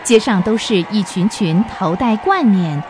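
A woman narrates a scripted radio drama in storytelling style. Her pitch is middling but moves in a very animated way, and faint music plays behind her. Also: a little slow.

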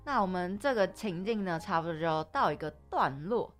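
Lively, lowish-voiced woman over music. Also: normal speed. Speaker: podcast co-host. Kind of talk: casual discussion. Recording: background music under speech.

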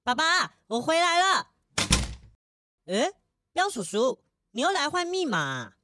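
A woman's voice: sits high, sing-song, a little slow, background noise. A woman playing a cute, obedient young leopard boy in a children's audio drama. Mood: cheerful.

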